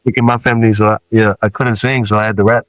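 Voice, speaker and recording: A man in his forties who grew up in Samoa, a rapper guest telling stories in relaxed, casual talk with many fillers. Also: fairly low pitch, fast, no room sound, dull audio.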